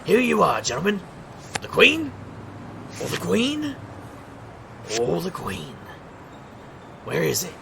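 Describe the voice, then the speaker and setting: Man playing a gravelly, cocky street magician sleuth. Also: high-pitched, wildly swooping pitch, slow, pauses often, background noise, exaggerated voice. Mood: showman patter. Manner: showman's sing-song patter, wry and theatrical. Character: male street magician private investigator, cocky, wisecracking trickster. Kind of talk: scripted audio drama acting.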